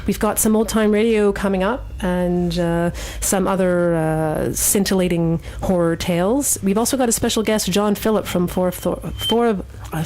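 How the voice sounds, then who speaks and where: Low-voiced woman hosting radio, noisy studio. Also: gravelly, a little mellow. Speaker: radio show host. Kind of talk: casual live radio presenting.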